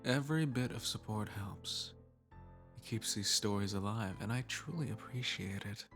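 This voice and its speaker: A man's voice: on the low side, normal speed, fairly bright tone. A man hosting an audio story channel, reading a scripted outro that plugs the channel. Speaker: channel host and voice actor. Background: music under the voice.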